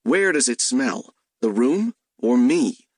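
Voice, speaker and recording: A man's voice: medium pitch, lively intonation, slow pace, dry recording, clean studio sound. A man voicing an exasperated, sarcastic male detective in an audiobook, with indignant delivery.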